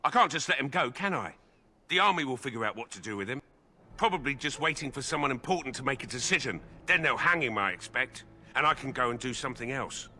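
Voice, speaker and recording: A man's voice: medium pitch, expressive, fast, sharp tone, a little hiss or hum. An actor playing a blunt, sardonic army guard who grumbles about his duty, in a video game. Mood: scornful. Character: grumbling, callous male army guard with grudging decency.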